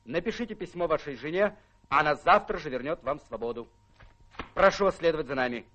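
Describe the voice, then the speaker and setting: An actor playing a polite, businesslike bailiff in a radio play, courteous, ironic and unflappable. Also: male voice, on the high side, lively intonation, brisk, pushed and bright. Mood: polite, firm. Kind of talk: Russian radio play acting. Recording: dull radio play recording, faint music.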